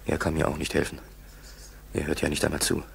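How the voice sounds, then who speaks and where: A man's voice: very deep voice, monotone, quick pace, gravelly, heavily acted. A man acting an earnest, determined Soviet fighter pilot lieutenant in a 1960s German radio drama. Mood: brooding. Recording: 1960s school radio tape, faint hiss.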